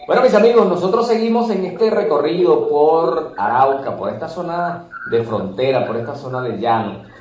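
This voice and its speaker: A man's voice: a little high, expressive, normal speed, darkish tone. Venezuelan male correspondent, echoey noisy recording. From Guárico, Venezuela. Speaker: correspondent, interviewer. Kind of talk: radio interview report. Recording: echoey, muffled, noisy remote line.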